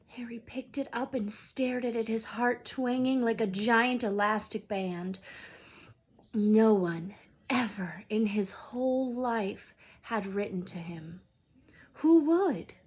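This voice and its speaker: Slow, slightly husky woman reading aloud. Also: a little high, a little mellow. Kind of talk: expressive storybook read-aloud.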